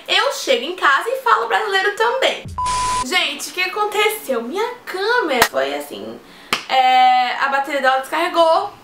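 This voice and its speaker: A woman's voice: high voice, pushed and bright, reverberant, a little hiss or hum. An eighteen-year-old woman from Brazil with a Brazilian Portuguese accent mixed with European Portuguese, chatting to her viewers from home. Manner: chatty, talks straight to viewers. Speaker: vlogger. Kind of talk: casual talk to camera. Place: room at home.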